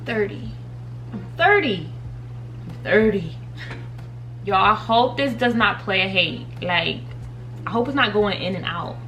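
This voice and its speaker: A woman's voice: mid-range voice, lively intonation, measured pace, long pauses, fairly bright tone, a little hiss or hum, rough recording. A thirty-year-old woman chatting casually while doing her makeup, giggling often and talking straight to her viewers. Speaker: beauty and faith vlogger. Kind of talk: casual makeup tutorial chat.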